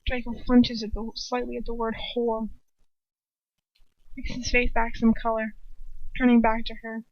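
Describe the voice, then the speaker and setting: A woman's voice: fairly high pitch, completely flat delivery, unhurried, darkish tone, no room sound, dull audio. A young woman reading fan fiction aloud informally, breaking off with sweary, irreverent asides and corrections of her own slips. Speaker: amateur fan fiction reader.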